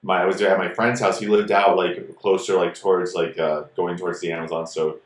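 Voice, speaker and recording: A man from Ecuador co-hosting a podcast, telling stories in a rambling, animated way full of "like" fillers. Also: low-pitched, a little fast, echoey room. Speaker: podcast co-host. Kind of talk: casual storytelling chat.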